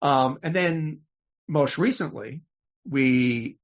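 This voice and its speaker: A man hosting a podcast, asking questions in a casual, measured conversational way. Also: on the high side. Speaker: podcast host, interviewer. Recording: slightly dull, lo-fi audio.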